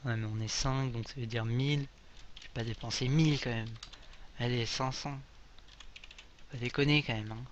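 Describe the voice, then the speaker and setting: A man's voice: medium pitch, steady tone, moderate pace, long pauses, slight background noise. A young man casually commenting on a game as he plays, joking and reading the English on-screen text aloud. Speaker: gaming video creator. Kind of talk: casual let's play commentary.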